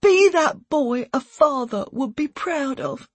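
A woman playing a desperate mother in an audiobook, pleading with her young son in a halting, sobbing, breathy voice. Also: high voice, expressive, no room sound, voice pushed up. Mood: sad, tender.

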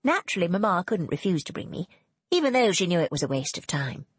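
A woman voicing a mild, candid young society lady in an audiobook, polite and even-tempered. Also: on the low side, fast, slightly rough, no room sound. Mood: candid, matter-of-fact.

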